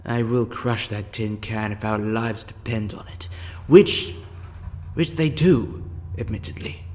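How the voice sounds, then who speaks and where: A man's voice: fairly low pitch, a little mellow. A man playing a scheming, self-important male time-meddler in a fan audio drama, speaking with sardonic impatience. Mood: conspiratorial. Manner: sardonic and impatient, slow deliberate delivery.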